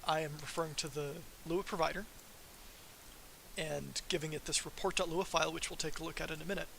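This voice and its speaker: A man giving an informal technical presentation on a livestream as a software developer, in a casual, self-deprecating manner. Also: fairly high pitch, steady tone, a little fast, gravelly, rough recording. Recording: faint background hiss.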